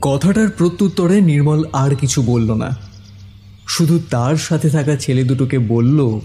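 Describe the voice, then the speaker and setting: A man narrates a horror story from a script in a dark, mellow voice. His pitch is medium, and faint music plays behind him. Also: a little slow.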